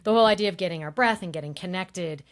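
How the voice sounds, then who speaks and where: A woman voice coach explaining in a relaxed interview, her voice on the higher side, and she often demonstrates tones with it. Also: fast. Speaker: voice coach guest. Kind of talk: conversational interview, demonstrating voice techniques.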